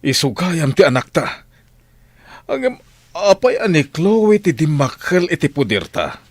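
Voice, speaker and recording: A man's voice: fairly high pitch, sing-song, unhurried, no room sound. A man acting a concerned male character in a Filipino family radio drama. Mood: troubled, resolute.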